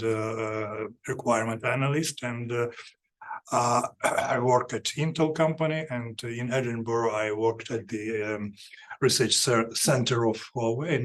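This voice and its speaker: A man giving an academic seminar talk, halting with many fillers and restarts. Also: mid-range voice. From Ukraine. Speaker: seminar speaker.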